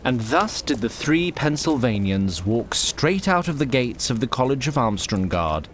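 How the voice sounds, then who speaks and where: An actor playing a grandiose storybook narrator, formal and dryly wry in mock-epic scripted narration. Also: male voice, mid-range voice, sing-song, unhurried, a little hiss or hum. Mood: grandly wry.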